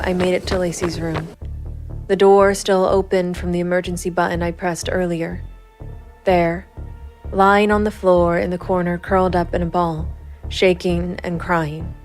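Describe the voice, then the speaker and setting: Woman acting a low-voiced, grim female vigilante. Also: lightly acted. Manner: controlled, stern, resolute. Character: grim vigilante woman private investigator, cold and composed. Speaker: narrator and lead character. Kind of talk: gritty noir crime drama narration.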